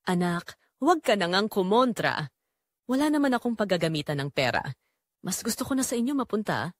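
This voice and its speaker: A woman's voice: medium pitch, very animated, fairly quick, dry recording, clean studio sound, lightly acted. A woman voicing a caring, coaxing retired mother with a secret, in an audio drama. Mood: gently insistent.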